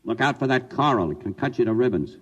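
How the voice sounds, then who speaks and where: A husky, commanding general. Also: male voice, mid-range voice, expressive, quick pace, big-room sound, dull audio. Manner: clipped commands. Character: steady male general leading a dive. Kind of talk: 1950s radio drama acting. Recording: old radio broadcast with music bed.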